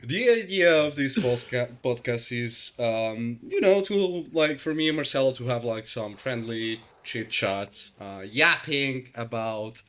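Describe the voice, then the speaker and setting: An Italian-accented man in his thirties hosts a relaxed podcast. He rambles easily through his thoughts, dropping "um" and "like" and restarting sentences as he goes. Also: mid-range voice, unhurried, few pauses. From Italy. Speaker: podcast host. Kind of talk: casual chat.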